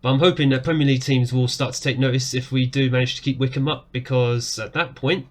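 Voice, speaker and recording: A man, talking casually over a football management game. His voice is starting to go hoarse, and it jumps up in excitement whenever a goal goes in. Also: mid-range voice, fast. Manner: excitable, jumps up when goals go in. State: voice going hoarse. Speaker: game streamer. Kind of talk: casual gameplay commentary. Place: small room indoors. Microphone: webcam framing at desk.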